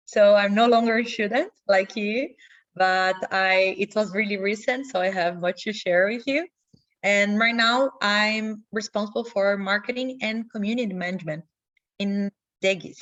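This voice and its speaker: A woman's voice: medium pitch, a bit bright, muffled recording. Brazilian woman hosting an online session. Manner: friendly, instructive, non-native English with slips. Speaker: webinar host. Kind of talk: online info session presentation. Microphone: video call.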